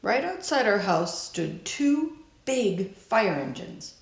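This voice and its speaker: A woman reading a children's picture book aloud in a deliberate, expressive storytelling style. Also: mid-range voice, roomy sound. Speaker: storybook reader.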